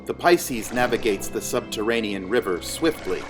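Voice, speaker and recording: A man reading scripted fantasy narration in a measured storytelling manner. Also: on the low side, expressive, music in the background.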